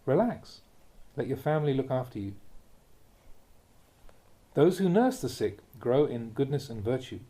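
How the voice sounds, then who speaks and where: A man with a mellow, soft-edged voice reads a spiritual teaching aloud as a monk would. His phrasing is measured and deliberate, in short clauses, and his mid-pitched voice rises and falls expressively. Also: big pitch swings. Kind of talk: read-aloud dharma talk, audiobook narration.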